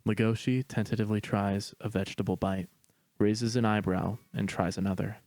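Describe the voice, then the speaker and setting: A man narrating stage directions in a steady, matter-of-fact reading voice. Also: on the low side, normal speed, dry recording. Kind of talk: plain read-aloud narration.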